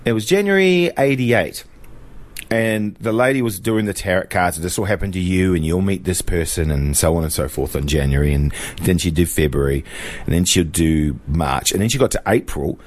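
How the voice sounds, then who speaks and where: A man's voice: low-pitched, expressive, moderate pace. New Zealand man telling his story. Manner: casual, reflective, with fillers and restarts. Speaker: guest. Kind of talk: interview, personal testimony.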